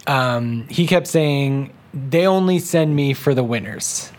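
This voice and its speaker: Casual man, mid-pitched, telling an anecdote. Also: slow pace, background noise. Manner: acts out other people's remarks. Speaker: TV writer and podcast creator being interviewed.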